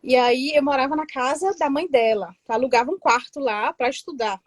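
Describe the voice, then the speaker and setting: A lively woman tells her story in a casual interview. Her voice is high, and the sound is dry and close. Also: moderate pace, no echo. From Northeast Brazil, Pernambuco interior. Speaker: guest, tax assistant in Germany.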